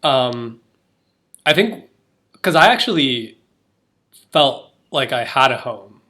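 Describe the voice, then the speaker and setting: A man's voice: medium pitch, big pitch swings, slow pace, pushed and bright. A young man co-hosting a podcast, talking casually with lots of fillers and restarts. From United States. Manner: chatty, filler-heavy, many restarts. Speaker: podcast co-host. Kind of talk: casual chat.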